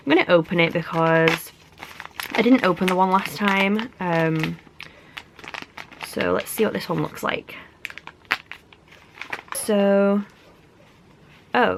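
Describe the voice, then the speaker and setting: A woman's voice: on the low side, vocal fry, a little hiss or hum, poor audio. A young woman from England with a stuffy, congested cold, chatting casually through an unboxing with lots of likes and ums. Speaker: beauty vlogger.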